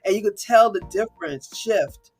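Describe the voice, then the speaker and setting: A woman's voice: medium pitch, expressive, normal speed, slightly rough, soft background music. A woman hosting a podcast interview, chatty and encouraging with frequent affirmations. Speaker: podcast host.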